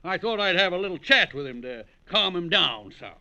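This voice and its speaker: An actor playing a genial, folksy city husband smitten with ranch life, hearty and chatty in a 1940s radio drama. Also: male voice, sits high, big pitch swings, a little fast, bright, forceful tone, highs cut off, full character voice. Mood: earnest, effusive.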